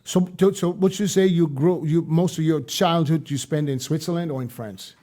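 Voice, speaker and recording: A man's voice: fairly high pitch, normal speed, mellow. A man hosting a podcast, chatting casually and often repeating words as he forms his questions. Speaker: podcast host interviewer. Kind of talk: casual interview chat.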